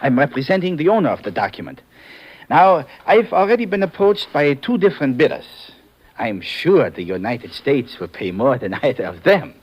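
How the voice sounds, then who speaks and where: An actor playing a sly, greedy broker with a German accent in a 1950s radio drama, suave, smug and formal. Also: male voice, mid-range voice, sing-song, moderate pace, breathy edge, dull audio. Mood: businesslike, smug.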